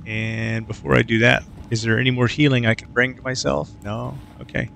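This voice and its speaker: Man, gravelly voice, casual game commentary, relaxed muttering, faint background hiss. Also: mid-range voice, moderate pace. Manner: easygoing, muttering to himself with filler sounds. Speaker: let's play gamer.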